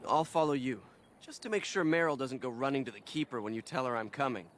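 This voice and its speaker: An actor playing an eager young elf hunter, earnest and keen to help, in fantasy game dialogue. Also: male voice, mid-range voice, quick pace, slight background noise, natural voice. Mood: earnest, insistent. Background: music.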